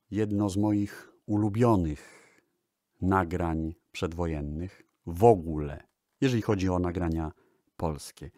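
Very low-voiced man, measured music presenter. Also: dark tone, no echo, clean studio sound. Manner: deliberate, with hesitations and pauses. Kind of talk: radio-style music history talk with digressions.

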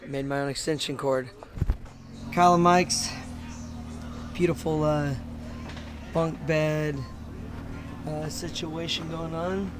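A man's voice: a little high, level tone, slow, long pauses, music in the background. A man chatting casually and enthusiastically to viewers as an informal vlog host, recorded outdoors. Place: outdoors at a forest campsite. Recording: clear outdoor ambient and vehicle noise.

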